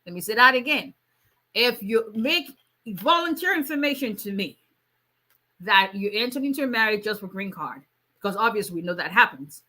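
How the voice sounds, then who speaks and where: Woman immigration attorney, bright voice, casual live Q&A. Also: mid-range voice, expressive, normal speed. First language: Yoruba. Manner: conversational, many fillers, reads out viewer questions. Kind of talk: live Q&A stream.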